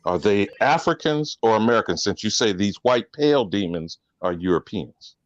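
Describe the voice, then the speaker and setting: Man, low voice, very lively pitch, interrupting, pointed questions, dull lo-fi line. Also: normal speed, dry recording. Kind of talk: casual online group discussion.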